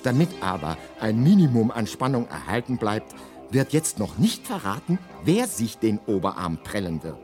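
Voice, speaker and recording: A man narrating as a galactic guidebook in a comic radio play, mock-solemn and deadpan in his wording. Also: lowish voice, moderate pace, soft background music.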